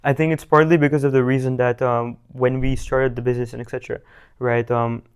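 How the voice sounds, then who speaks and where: Give me a teenage man from India, rambling and flat-voiced, full of fillers. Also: mid-range voice, fairly quick, clear, resonant tone. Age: teens. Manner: rambling, many fillers and restarts. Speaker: guest, young business founder. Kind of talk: casual interview answers.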